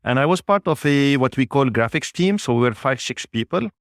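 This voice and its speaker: A man of Lebanese origin, a native Arabic speaker, answering interview questions in a measured way with frequent pauses. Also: mid-range voice, lively intonation, no echo, clean studio sound. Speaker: guest, business executive.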